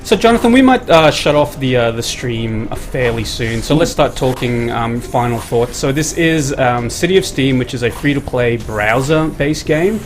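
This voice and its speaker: A man's voice: mid-range voice, animated, moderate pace, few pauses, faint music behind. Man hosting a game stream. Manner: filler-heavy, reads out viewer comments, steers the talk. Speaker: host of a weekly game show stream. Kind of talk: casual live-stream hosting.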